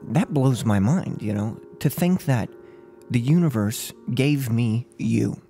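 A young man in his twenties playing a gloating, unhinged serial killer in an ASMR role-play, speaking close to the mic in a halting, mock-polite, menacing way. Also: mid-range voice, expressive, dark tone, soft background music. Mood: gleeful menace. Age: 20s. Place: small furnished room at home. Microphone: close microphone on a boom arm.

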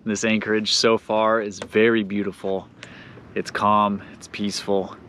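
An upbeat man giving casual, excited narration for a sailing vlog. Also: lowish voice, a little slow, slight background noise. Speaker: vlogger narrating a family sailing trip.

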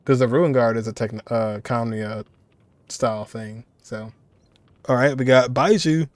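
Male, 20s, mid-pitched, informal reaction commentator thinking aloud, close dry recording. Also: a little slow, dry recording. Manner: informal, musing aloud, repeats phrases. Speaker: reaction video creator. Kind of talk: casual game reaction commentary.

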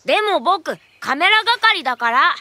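A woman's voice: extremely high pitch, big pitch swings, unhurried, pushed and bright, full character voice. A woman voicing an eager, earnest little boy in a children's cartoon, with a childlike way of talking. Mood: earnest, pleading.

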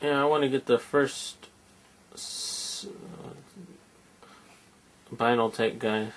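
A man's voice: medium pitch, steady tone, slow, fairly bright tone, slight background noise. A man giving a rambling, offhand toy review at home at night, recorded on a camera mic. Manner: rambling, offhand, muttering asides. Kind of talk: casual hands-on review. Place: quiet room at home at night.

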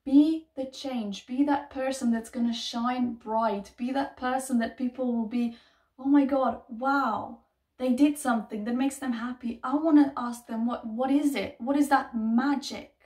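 A woman's voice: fairly high pitch, steady tone, unhurried, darkish tone. A woman in her thirties, not a native English speaker, giving an emphatic, repetitive motivational talk to camera in a bedroom. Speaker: self-help vlogger. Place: small bedroom, indoors. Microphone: camera a few feet away. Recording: echoey room, distant microphone.

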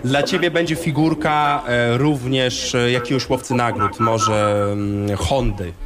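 A man hosting a radio show in casual, chatty talk, with hesitant pauses as he goes. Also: mid-range voice, steady tone, very noisy. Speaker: radio host.

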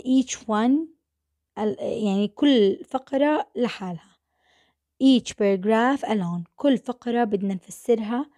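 A woman teaching an online English class with Arabic as her first language, moving back and forth between the two languages. her voice is mellow and mid-pitched, her English slow and deliberate with lots of repetition, and it is recorded dry on a computer mic. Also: dry recording.

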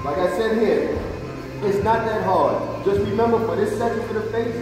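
A man's voice: high-pitched, normal speed, distant mic, over music. A man teaching barber students, counting off each razor stroke while demonstrating a shave on a client in a barbershop classroom. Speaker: barber instructor. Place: large barbershop classroom, indoors. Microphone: phone camera held by a student a few meters away. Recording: roomy echoey phone audio from across the room.

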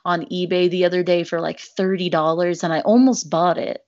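A woman's voice: lowish voice, little pitch movement, normal speed, darkish tone, no echo, lo-fi sound. A young woman co-hosting a podcast, chatting casually with a rambling, self-deprecating manner and frequent laughter. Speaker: podcast co-host. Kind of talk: casual chat.

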